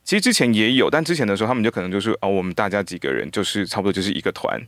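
Man, Taiwanese Mandarin accent, lowish pitch, casual explainer, agreeable, dry close sound. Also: animated, rapid-fire, fairly bright tone, dry recording. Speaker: guest, audio drama practitioner. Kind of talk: casual interview talk.

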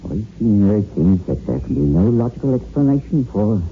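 An actor playing an aged, superstitious gardener, deferential and hesitant, in a 1960s radio horror drama. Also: male voice, fairly low pitch, big pitch swings, brisk. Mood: grave, ominous. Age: older. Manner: hesitant, ominous. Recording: muffled, noisy old radio recording.

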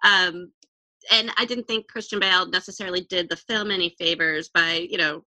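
A woman co-hosting a casual commentary chat, measured and wry, with frequent restarts. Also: on the low side, steady tone, bright, forceful tone, no room sound, low-quality recording.

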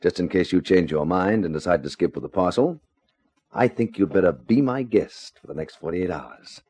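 Menacing nightclub racketeer, 1950s radio drama: dark, husky, drily sardonic. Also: male voice, low voice, lively intonation, normal speed. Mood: businesslike menace. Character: smooth-talking, menacing nightclub gangster boss.

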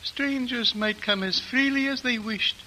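An actor playing an ancient, frail High Lama who speaks in a slow, grave, measured way, in a 1940s radio drama. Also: male voice, sharp tone, slight background noise, heavily acted, poor audio. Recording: old radio broadcast with music.

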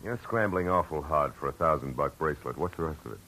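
A man's voice: very deep voice, animated, fast talker, pushed and bright, full character voice, poor audio. An actor playing a tough, wry male private detective who narrates terse first-person, in 1940s radio drama style. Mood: firm. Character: hard-boiled male private eye, dry and tough. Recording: old radio broadcast with music.

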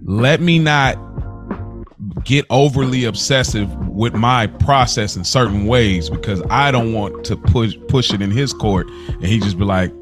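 A man co-hosting a podcast, speaking in a reflective, hesitant, soft-spoken way during a personal conversation. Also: mid-range voice, unhurried, music in the background. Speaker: podcast co-host. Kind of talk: casual conversation.

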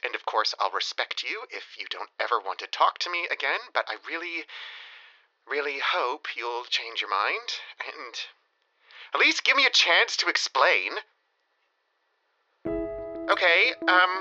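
An actor playing a rambling, self-pitying lovesick ex-boyfriend in his thirties, halting and confessional, in an audio comedy drama. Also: on the high side, sing-song, pushed and bright, highs cut off, soft background music, full character voice. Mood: earnest, pleading. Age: 30s.

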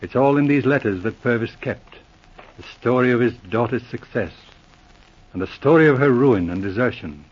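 An actor playing a brisk, no-nonsense male police inspector in a 1940s radio drama, who speaks in a crisp, commanding, matter-of-fact way. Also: mid-range voice, expressive. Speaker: lead investigator. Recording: dull lo-fi 1940s radio recording.

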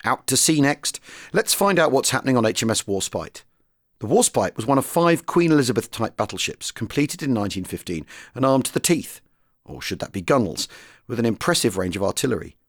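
A man's voice: mid-range voice, lively intonation, fairly quick, no room sound, studio quality. A man presenting and narrating a history programme in a scripted documentary style.

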